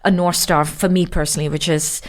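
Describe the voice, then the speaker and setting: A middle-aged woman from Singapore speaking as an executive guest in a reflective interview, choosing her phrases with measured care. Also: fairly low pitch, flat delivery. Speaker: podcast guest, marketing executive.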